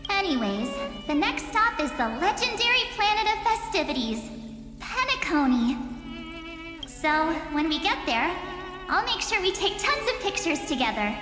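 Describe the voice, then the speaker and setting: A woman's voice: extremely high pitch, long reverb tail, heavily acted. A woman playing a bubbly young photography-loving heroine in a video game, playful, boastful and heartfelt. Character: bubbly young heroine who loves photography and her journal. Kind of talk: English video game voice acting.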